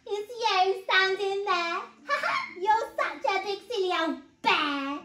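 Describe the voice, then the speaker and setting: A woman voicing a small, excitable little boy in a storybook, with a squeaky delivery. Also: slow, heavily acted. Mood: giggly. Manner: high, stammering when scared, squeaky. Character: small shy little boy, lonely then giggly. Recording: roomy indoor echo.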